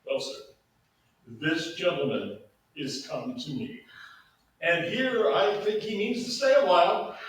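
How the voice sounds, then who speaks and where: A man in a staged Shakespeare reading playing a genial, dignified duke and father, with a deliberate, slightly halting delivery. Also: high-pitched. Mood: genial, formal. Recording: distant, very echoey room, faint noise.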